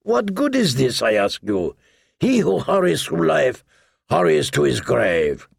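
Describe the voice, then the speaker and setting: A man's voice: fairly high pitch, huge pitch swings, a little slow, gravelly, husky, no room sound, clean studio sound, exaggerated voice. A man voicing a boastful, lewd, wheedling pirate captain in an audiobook, with a theatrical, jovial manner and questioning tags. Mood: jovial, scornful. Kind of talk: audiobook character voice.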